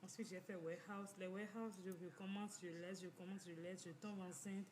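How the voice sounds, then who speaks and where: A woman telling her own story in a halting way, with many restarts and repeated phrases. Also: mid-range voice, steady tone, darkish tone, slight background noise. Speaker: community finance speaker. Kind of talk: personal testimony talk. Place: living in the United States.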